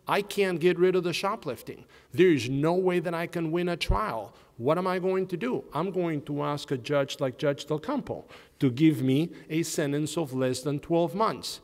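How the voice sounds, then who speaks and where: A man's voice: fairly high pitch, normal speed, long reverb tail. A man with a noticeable non-Southern accent, a defense attorney speaking earnestly on a conference panel, posing questions and answering them himself. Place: law school event hall.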